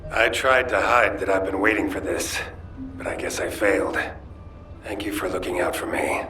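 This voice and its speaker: A man's voice: sits low, normal speed, pushed and bright. An actor playing an earnest, grateful male soldier in a video game. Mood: sincere. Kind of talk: game dialogue. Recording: distant with faint music.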